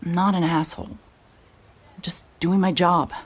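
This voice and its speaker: A woman playing a hard-hearted, stubborn private investigator in an audio drama, narrating in a wry, terse, deadpan way. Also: fairly low pitch, normal speed, husky. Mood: wry, determined. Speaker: narrator and lead actor.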